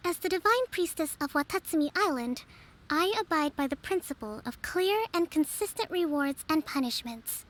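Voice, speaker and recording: A calm young priestess leader. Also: female voice, high voice, rough recording. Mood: firm. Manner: composed, formal, gently authoritative. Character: young female divine priestess, calm and measured. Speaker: island leader. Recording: game audio with soft music behind.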